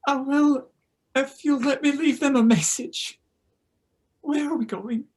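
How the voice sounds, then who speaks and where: A woman's voice: sits high, steady tone, a little slow, a little mellow. A woman playing a frightened, distraught mother in a table read. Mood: distraught, scared. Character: Emma, frightened distraught young mother searching for children.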